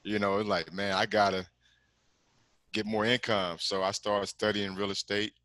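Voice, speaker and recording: A man's voice: on the low side, little pitch movement, normal speed, bright, forceful tone, no echo. Laid-back middle-aged Texan man on a video call. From Galveston, Texas. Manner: laid-back, rambling, frequent "man" and "you know what I'm saying". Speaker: guest, commercial lender. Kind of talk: casual interview over video call.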